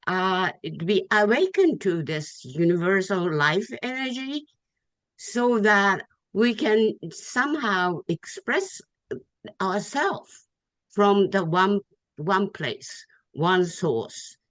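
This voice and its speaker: A woman from Japan with a Japanese accent, giving an informal, heartfelt testimonial in a halting way, searching for words and repeating phrases. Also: mid-range voice, animated, fairly bright tone, no echo. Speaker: Tai Chi student giving thanks at an online gathering. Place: online video call.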